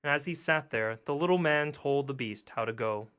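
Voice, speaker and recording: A man reads a classic fairy tale aloud in a measured, steady way. His voice sits at a middle pitch and keeps an even storytelling pace. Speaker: audiobook narrator. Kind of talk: scripted storybook narration.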